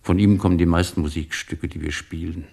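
A sentimental immigrant shopkeeper in a radio drama. His low voice is gravelly, and he talks on effusively, pressing each point with emphatic pauses. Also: steady tone, dark tone, full character voice. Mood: excited. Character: talkative sentimental immigrant ice cream shopkeeper. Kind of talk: German radio play acting.

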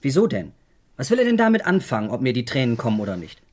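An actor playing a defiant young law student in a German radio crime drama, speaking in a blunt, slangy, halting way. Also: male voice, mid-range voice, wildly swooping pitch. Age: young adult. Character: defiant, blunt 21-year-old male law student son.

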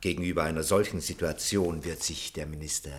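A man's voice: rumbling low, fairly even intonation, fairly quick. An actor playing a servile, ambitious, scheming male minister in a German radio play, with an obsequious, theatrical way of talking. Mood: sly, scheming.

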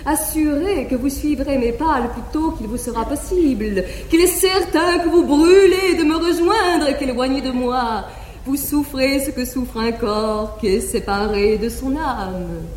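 An actress playing a proud, wronged noble wife who declaims with bitter irony in a classical stage drama, on an old live theatre recording. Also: female voice, sits high, unhurried, a little mellow, roomy sound, in a large hall, a little hiss or hum. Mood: ironic.

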